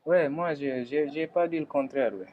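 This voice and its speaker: A man's voice: fairly high pitch, normal speed, dull audio. Guy on a live debate, stumbles over words a lot, bit of background hiss. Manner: hesitant, stammers on words.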